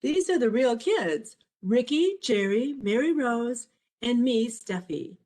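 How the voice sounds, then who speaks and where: A woman reading her own children's picture book aloud as a storyteller, in an expressive, measured way. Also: a little high. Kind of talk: scripted storybook narration for children.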